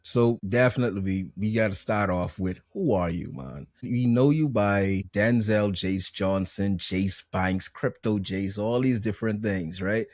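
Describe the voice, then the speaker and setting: A man's voice: lowish voice, measured pace, hardly any pauses, darkish tone, dry recording, studio quality. A man hosting a casual podcast, asking laid-back, slangy questions. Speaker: podcast host.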